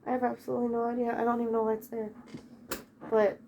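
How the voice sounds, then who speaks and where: A woman's voice: fairly high pitch, flat delivery, quick pace, vocal fry, darkish tone, slight background noise. Chatty woman rambling with a head cold. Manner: rambling, chatty, self-interrupting. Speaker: vlogger. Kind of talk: casual chat while tidying. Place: bedroom at home.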